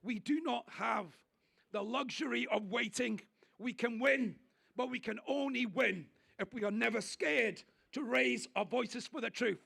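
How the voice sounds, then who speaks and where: A man giving an impassioned political speech at an outdoor rally, declaiming and shouting at the peaks. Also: very high-pitched, a little slow, gravelly, breathy edge. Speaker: rally speaker.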